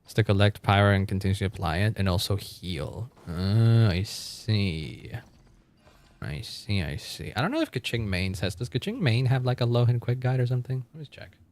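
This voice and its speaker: A man's voice: lowish voice, normal speed, darkish tone. A man live-streaming a game, chatting casually and thinking aloud in an informal way, with casual swearing. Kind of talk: casual live-stream chat while gaming.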